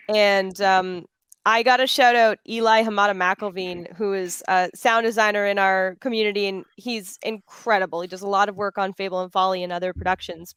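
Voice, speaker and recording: A woman's voice: medium pitch, a bit bright, clear, resonant tone, no echo. A woman moderating a panel discussion, talking casually and with enthusiastic emphasis. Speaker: panel moderator and audio drama creator.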